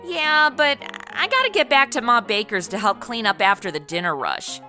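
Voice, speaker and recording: A brash, snarky American party girl played by a woman with a bright, very animated voice. She banters and narrates boozily in a comedy audio drama, music faintly behind. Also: on the high side, normal speed. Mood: tipsy, snarky. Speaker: lead of the show.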